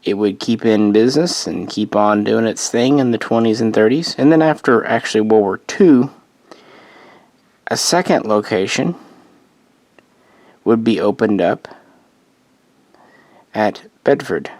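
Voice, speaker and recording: A man with a somewhat low voice that is going a bit rough as he loses it. He narrates history in a casual, meandering way, correcting himself and tossing in offhand asides. The sound is dry, with no room echo. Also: lively intonation, unhurried, pauses often, darkish tone. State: losing his voice. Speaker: host.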